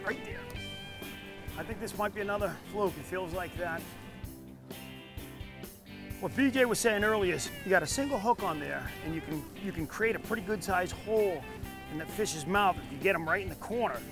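A man's voice: high-pitched, animated, normal speed, pauses often, music in the background. A middle-aged man hosting a fishing show from a small boat at sea, explaining techniques in a casual, friendly way. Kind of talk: casual on-camera fishing talk.

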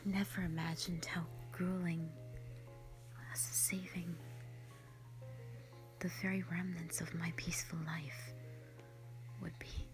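A woman voicing a gentle, protective elder dragon in a slow, solemn, whispered audio roleplay monologue, full of sorrow. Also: fairly low pitch, level tone. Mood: sorrowful, brooding. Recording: music behind the voice.

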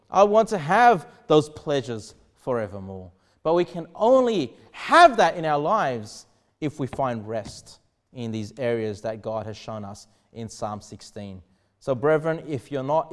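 A man in his thirties, a pastor preaching a sermon in earnest, with sudden emphatic rises and quiet drops. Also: mid-range voice. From Australia. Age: 30s. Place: small church studio behind a pulpit desk.

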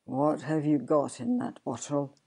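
A low, slow-speaking goblin voiced by a woman, stern and taunting, straight out of a storybook. The tone is dark and deliberate, full of unearthly menace. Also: fairly even intonation, gravelly, slightly rough, full character voice. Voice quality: deep. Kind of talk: dramatic storybook reading.

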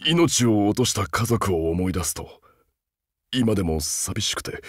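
A man's voice: fairly low pitch, animated, measured pace, no room sound, studio quality. A man voicing a huge, loyal, humble beast-man soldier in a game, haunted and earnest, speaking with stiff archaic military formality. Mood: fearful, grieving. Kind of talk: Japanese game character voice acting.

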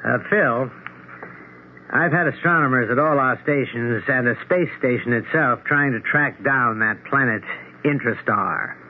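An actor playing a skeptical, concerned friend, measured and blunt, in a 1970s radio drama. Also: male voice, medium pitch, narrow, tinny audio, natural voice. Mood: grave, concerned. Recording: thin, hissy 1970s radio recording.

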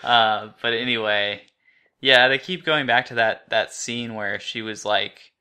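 A man's voice: medium pitch, fairly even intonation, measured pace, pushed and bright, clean tone. A man co-hosting a podcast, recapping and discussing a story in an informal way. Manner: informal, rambling, frequent "like". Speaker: podcast co-host.

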